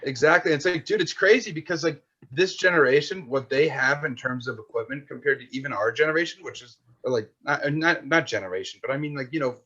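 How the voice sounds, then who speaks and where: A man's voice: fairly high pitch, brisk, bright, forceful tone, breathy edge. A man in his twenties, a podcast guest and filmmaker, chatting casually in a rambling, slangy way. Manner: rambling, slangy, full of "like" and "dude". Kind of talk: casual chat. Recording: dull lo-fi sound.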